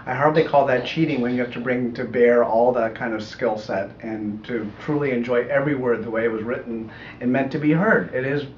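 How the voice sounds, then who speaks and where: A middle-aged American man explaining his audiobook work in a measured, thoughtful way during an interview. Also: medium pitch. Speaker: audiobook producer being interviewed. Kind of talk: conversational interview answers. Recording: echoey room, muffled, faint noise.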